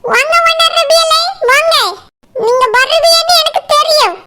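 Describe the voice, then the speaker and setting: A woman's voice: very high voice, unhurried, background noise. A woman voicing a cocky, self-important young girl in a comedy cartoon, talking boastfully in Kanyakumari Tamil. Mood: smug, confident.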